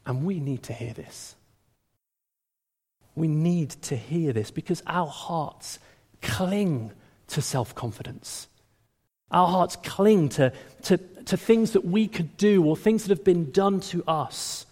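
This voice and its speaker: A man preaching a sermon in a conversational, animated way, often restarting his words. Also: on the high side, takes it slowly. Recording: long hall reverb.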